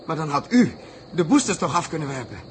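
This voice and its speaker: An actor playing a brisk, commanding spaceship captain in a 1960s science fiction radio drama. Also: male voice, on the high side, very animated. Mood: concerned. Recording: noisy vintage radio drama recording.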